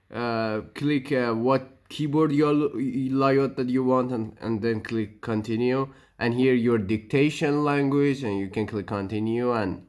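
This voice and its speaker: A man whose first language is Persian, narrating a computer setup walkthrough with frequent pauses, restarts and loose non-native phrasing. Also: mid-range voice. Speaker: tech tutorial maker. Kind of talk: screen-recorded walkthrough narration.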